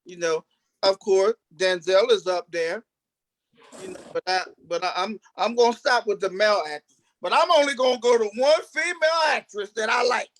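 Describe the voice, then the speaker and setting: A man chatting casually as an interview guest, halting and repeating himself as he builds up to his point. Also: high voice, fairly bright tone, no room sound, rough recording. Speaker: stage actor, interview guest. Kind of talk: casual chat.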